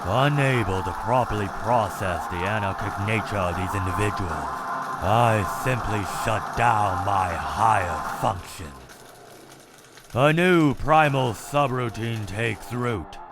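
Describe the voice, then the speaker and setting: A man voicing a cold, logical male robot scientist who narrates in a clinical, measured, detached way for a comic dub. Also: on the low side. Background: loud music.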